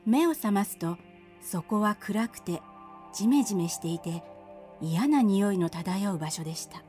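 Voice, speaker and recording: Mellow woman narrating a tale. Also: medium pitch, animated, unhurried, faint music behind. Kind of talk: scripted storybook narration for radio drama.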